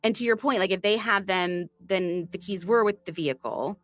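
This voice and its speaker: A woman's voice: medium pitch, normal speed, no echo. A woman hosting a true crime podcast, retelling a case in a chatty, storytelling way. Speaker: podcast host.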